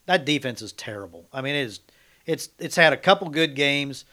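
A man's voice: medium pitch, expressive, a little fast, pushed and bright. An American man co-hosting casual sports talk radio, blunt and opinionated.

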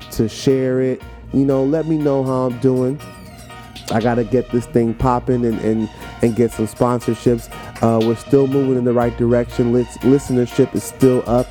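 American guy doing a motivational podcast talk, soft warm tone, casual but emphatic, repeats himself for punch, light guitar behind him. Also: medium pitch. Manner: emphatic, repeats phrases for effect; conversational, informal. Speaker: podcast host. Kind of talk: motivational talk explaining a poem.

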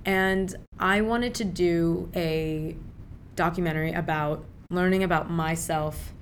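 A woman's voice: fairly low pitch, fairly even intonation, slow pace, slight background noise. A young woman from Pakistan whose native tongue is Urdu, a filmmaker guest talking casually and animatedly in an interview, with lots of fillers.

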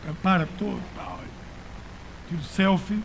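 A man's voice: sits high, steady tone, unhurried, vocal fry, slight background noise, rough recording. A man with a Brazilian accent preaching as a pastor in a colloquial, exhorting way, repeating phrases for emphasis. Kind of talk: conversational sermon to a congregation.

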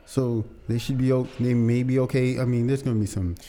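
Co-host, man, warm mellow voice, laid-back and slangy. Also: mid-range voice, little pitch movement, a little fast, a little hiss or hum. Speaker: podcast co-host. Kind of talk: casual chat.

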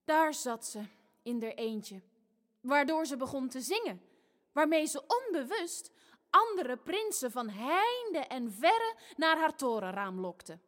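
An actress playing a storyteller in a theatrical fairy-tale radio play for families. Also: female voice, high-pitched, very animated, takes it slowly, a bit bright, full character voice. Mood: dramatic, storytelling. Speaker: narrator. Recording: long hall reverb.

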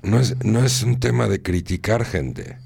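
A man's voice: on the low side, unhurried, creaky, darkish tone, slightly rough. A man hosting a radio talk show, moralizing and repeating phrases for emphasis. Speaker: radio host.

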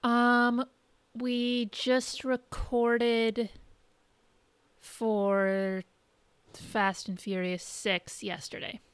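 A woman podcast co-host chatting casually in a halting, conversational way, recapping what she has been watching. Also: a little high, little pitch movement, clear, resonant tone, dry recording. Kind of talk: casual chat, recapping shows and films.

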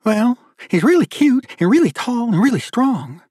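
A man's voice: very high-pitched, big pitch swings, normal speed, darkish tone, breathy edge, no room sound, clean studio sound, pitched above the performer's own voice, full character voice. A man voicing an eager, sassy teenage girl in an audiobook, girlish and gushing. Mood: excited. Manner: girlish, gushing, playfully scolding.

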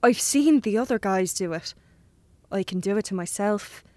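A woman's voice: mid-range voice, expressive, moderate pace, warm tone, dry recording, natural voice. Woman playing a needy, pushy teenage boy. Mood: pleading, urgent. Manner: insistent, pushy. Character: needy, manipulative teenage boy, lonely and defiant. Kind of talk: naturalistic audio drama acting.

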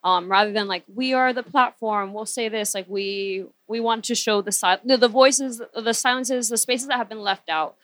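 A woman's voice: fairly high pitch, moderate pace, hardly any pauses, a bit bright, dry recording. A woman who grew up speaking Spanish, talking casually and candidly about her own experience as a panelist. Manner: candid, self-reflective.

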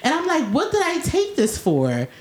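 A woman's voice: high-pitched, huge pitch swings, moderate pace, reverberant. A woman hosting a podcast who is congested from a cold. She chats casually, laughs often and talks in slang. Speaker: podcast host.

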